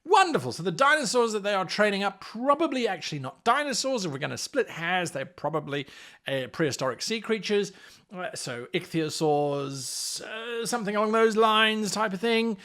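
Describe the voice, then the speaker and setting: Highly animated, high-pitched man explaining tabletop games. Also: moderate pace. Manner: theatrical, playful, sarcastic asides. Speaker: game master presenting an advice show.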